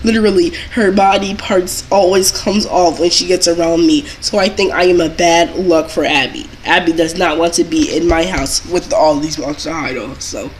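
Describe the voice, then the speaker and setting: A woman's voice: on the low side, steady tone, moderate pace, hardly any pauses, poor audio. Young woman rambling casually over background noise. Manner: rambling, circles back and repeats herself. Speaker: doll collector vlogger telling a story. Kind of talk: casual chatty storytime.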